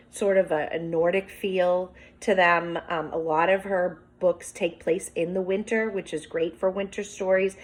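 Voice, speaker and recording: A woman speaking as a teacher, reading a picture book aloud with commentary and prompting young listeners with questions as if to a class. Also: fairly low pitch. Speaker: teacher demonstrating a children's read-aloud.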